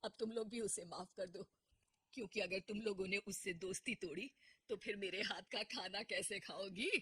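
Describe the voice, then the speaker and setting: A woman voicing a gentle, humble older village mother-in-law in an acted story. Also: medium pitch, moderate pace, husky, dry recording. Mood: forgiving. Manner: kindly, forgiving, soft-hearted.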